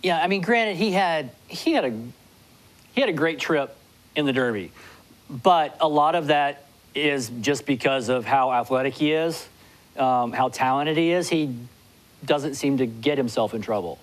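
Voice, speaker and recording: A man in his forties appearing as a guest handicapper on a studio talk show, talking in quick bursts with many restarts, recorded on a lapel mic. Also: a little high, poor audio. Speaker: guest horse racing handicapper. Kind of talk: studio interview. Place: TV studio with city skyline backdrop.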